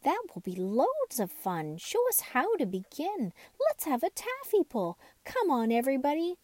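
Woman doing a keen, higher-pitched toy doll. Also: huge pitch swings, normal speed, darkish tone, dry recording. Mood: excited. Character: keen, excitable playful male cloth doll.

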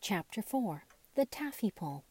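A woman narrating a children's story from a script, with an expressive, deliberate storytelling delivery. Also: medium pitch, breathy edge. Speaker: storybook reader.

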